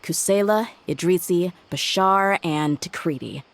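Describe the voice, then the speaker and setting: A woman playing a tough, loyal young female mercenary in a video game, measured, frank and level-headed. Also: on the low side. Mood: matter-of-fact, pondering. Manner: measured, matter-of-fact, resolute. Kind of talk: video game character acting. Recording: music under the voice.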